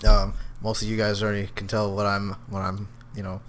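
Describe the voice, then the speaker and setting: A young man co-hosting a casual podcast, telling animated stories full of slang and laughter. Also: on the low side, brisk, slight background noise. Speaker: podcast co-host. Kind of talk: casual chat.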